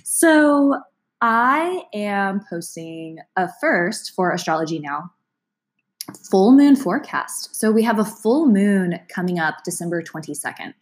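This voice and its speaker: A woman's voice: mid-range voice, very animated, measured pace. A woman with a cold, sounding congested, giving a casual, chatty astrology forecast to her viewers and laughing now and then. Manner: chatty, gentle, laughs between sentences. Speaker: astrology vlogger. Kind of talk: casual talk to camera.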